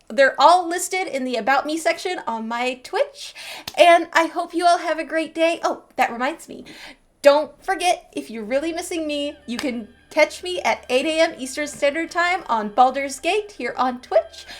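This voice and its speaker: A woman's voice: sits high, normal speed. A woman chatting casually and playfully as she hosts a video game livestream, bubbly in manner. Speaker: game streamer. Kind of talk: casual livestream gaming chat.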